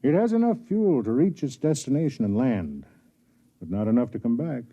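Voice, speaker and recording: An actor playing a kindly, self-deprecating older man, a senior officer who also narrates, in 1950s radio drama style with a measured, explanatory manner. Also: medium pitch, sing-song, dark tone, breathy edge. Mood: grave, explanatory. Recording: dull 1950s radio broadcast, music behind.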